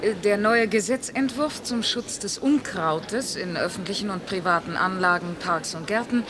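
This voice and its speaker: A woman playing a brisk conservation official. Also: mid-range voice, background noise, lightly acted. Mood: matter-of-fact. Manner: matter-of-fact, brisk. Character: female Berlin conservation official, brisk bureaucrat. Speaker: government official.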